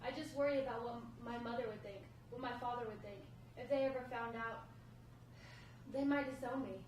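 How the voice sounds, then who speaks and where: A woman's voice: fairly high pitch, fairly even intonation, brisk, a little mellow, close to an everyday voice. A woman reading a worried, devoted partner at a script table read, anxious and hesitant. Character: worried, devoted female partner of a hard-up man. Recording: very echoey, distant, noisy room.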